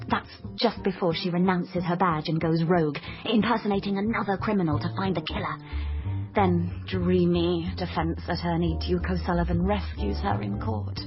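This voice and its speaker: An actress playing a naive, daydreaming young woman, earnest, wry and chatty, in a radio drama. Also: fairly low pitch. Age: young adult. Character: naive, daydreaming young dropout woman, crime-fiction fan turned sleuth. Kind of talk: radio drama acting with confiding narration. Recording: muffled audio under loud music.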